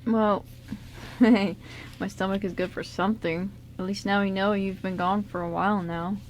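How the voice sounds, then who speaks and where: A caring young man acted by a woman in an amateur radio play, casual and a bit sheepish. The pitch is medium for a woman, and the recording carries faint noise. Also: steady tone, moderate pace, lightly acted. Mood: caring, practical. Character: caring, hungry young man, loyal friend of ghost. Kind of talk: amateur radio drama script reading.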